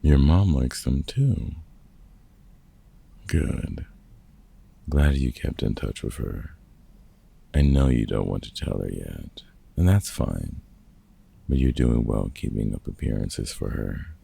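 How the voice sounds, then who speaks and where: A man playing a gruff, wry older vampire hunter in an ASMR roleplay, a fatherly mentor with a very gravelly, husky voice and dry, teasing delivery. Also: rumbling low, animated, takes it slowly, long pauses, mellow, full character voice. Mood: fond, encouraging. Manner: dry, teasing, hesitant with unfamiliar slang. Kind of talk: ASMR roleplay audio drama, one-sided conversation.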